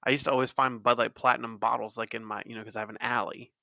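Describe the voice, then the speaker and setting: A man's voice: mid-range voice, flat delivery, very fast, creaky, pushed and bright, dry recording, clean studio sound. A man, co-hosting a casual podcast in a laid-back, chatty way that often trails off. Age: 30s. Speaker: podcast co-host. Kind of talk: casual chat.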